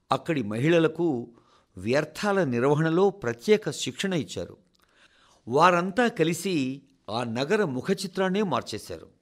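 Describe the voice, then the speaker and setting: A man with a Telugu accent reading a scripted address for radio in a formal, deliberate way with rhetorical pauses. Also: fairly high pitch. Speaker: radio reader of a translated national address.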